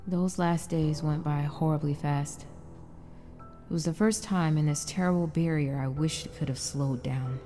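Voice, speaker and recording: A woman's voice: sits low, steady tone, measured pace, mellow, faint music behind. A woman playing an anguished wife trapped in frozen time, in an emotional audio drama with narration and dialogue. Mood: somber reflective.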